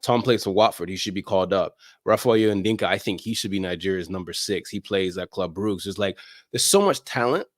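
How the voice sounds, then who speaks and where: A man's voice: low voice, brisk, no room sound, studio quality. A man chatting casually as an interview guest, emphatic and slangy, often repeating phrases to drive a point home. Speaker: guest, soccer podcast creator. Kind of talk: animated casual interview chat.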